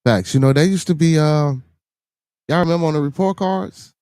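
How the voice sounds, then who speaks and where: Middle-aged chicago guy on a podcast stream, mellow low tone, dry close sound, cussing and laughing, says stuff twice. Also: moderate pace, clear, resonant tone, dry recording. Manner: loose, profane, laughs often, repeats himself. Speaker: podcast host reading live chat. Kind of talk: casual livestream chat.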